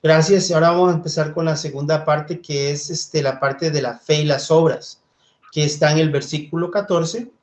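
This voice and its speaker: A man from Costa Rica leading a church Bible study, speaking gently and thoughtfully, with hesitant fillers. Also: fairly high pitch, steady tone, moderate pace. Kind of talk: Bible study teaching and reading scripture.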